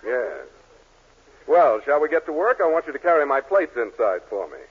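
An actor playing an exasperated photography boss in a 1940s radio comedy, formal and sarcastic. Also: male voice, mid-range voice, sing-song, moderate pace, breathy edge. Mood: dry, exasperated. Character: dry, exasperated male photography studio boss. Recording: muffled, noisy 1940s radio recording.

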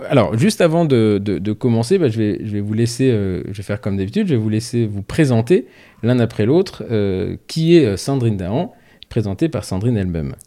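A man hosts a relaxed, conversational interview. His voice is mellow and soft-edged, and he puts questions to his guests in an informal way. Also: lowish voice, brisk.

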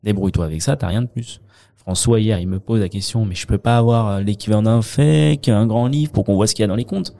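French male accountant guest, deep mellow voice, halting delivery full of fillers. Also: vocal fry. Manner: hesitant, many fillers and restarts. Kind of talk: conversational interview.

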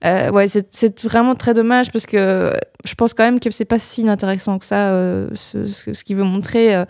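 A woman's voice: mid-range voice, steady tone, moderate pace, gravelly, a little mellow, no echo, studio quality. A woman with a Belgian French accent, casually reviewing films as a podcast co-host, hesitant with many fillers and restarts. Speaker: film critic co-host. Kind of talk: casual film review chat.

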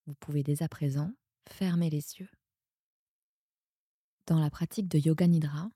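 Woman doing a sleep yoga nidra, super mellow hushed breathy voice, real slow and soothing. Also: low-pitched, dry recording, studio quality. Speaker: yoga nidra guide and podcast host. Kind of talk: guided sleep meditation.

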